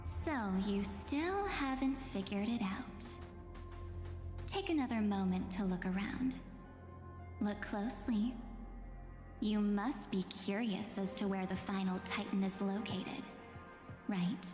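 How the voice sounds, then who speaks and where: An enigmatic woman from a video game, voiced by an actress, calm and mocking. She speaks slowly, with condescending drama, as if delivering cruel truths. Her voice rings in a long cavernous reverb over soft music. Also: medium pitch, close to an everyday voice. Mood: coolly teasing. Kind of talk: theatrical scripted game dialogue. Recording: echoey cavernous hall effect, music behind.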